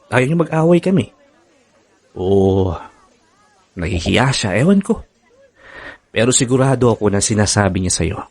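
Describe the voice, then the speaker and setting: A man's voice: mid-range voice, gravelly, a little mellow, no room sound. A man with a Filipino accent playing an anxious, devoted boyfriend in a radio drama, speaking in a hesitant, earnest way. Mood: troubled, probing.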